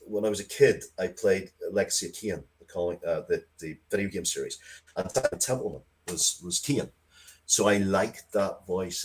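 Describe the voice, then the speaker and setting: Deep voiced guy being interviewed, writer type, chatty and rambly, gets excitable, repeats himself. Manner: rambling, excitable, repeats phrases, swears casually. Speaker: interview guest, writer of comics and audio drama. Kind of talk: casual interview chat, anecdotal storytelling.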